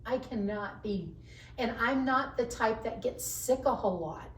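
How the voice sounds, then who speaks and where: A woman's voice: fairly high pitch, measured pace. Woman with a carrying voice. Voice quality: voice that carries. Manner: animated storytelling with laughs, self-mocking. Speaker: podcast guest, HR professional. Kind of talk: casual interview chat. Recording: roomy sound, mic at a distance, faint background hiss.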